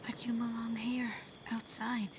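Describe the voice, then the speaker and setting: A woman playing a gentle, devoted kitsune in love in an intimate ASMR roleplay, speaking tenderly and hesitantly, often dropping to a breathy whisper. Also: on the high side, monotone, a little slow, noisy background. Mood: soft, playful. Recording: faint cricket and night insect ambience.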